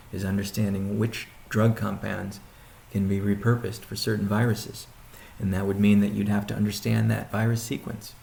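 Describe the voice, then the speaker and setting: A man speaking as a chief executive on a conference call, answering questions in a halting, deliberate way with pauses and restatements, in a roomy recording. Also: lowish voice, creaky, dark tone, a little hiss or hum. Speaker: company CEO hosting a weekly conference call. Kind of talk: question-and-answer business briefing. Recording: roomy, echoing sound.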